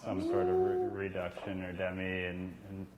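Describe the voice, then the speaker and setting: A man's voice: sits low, huge pitch swings, moderate pace, a crowd in the background. A young adult man chatting casually as a guest. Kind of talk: casual chat.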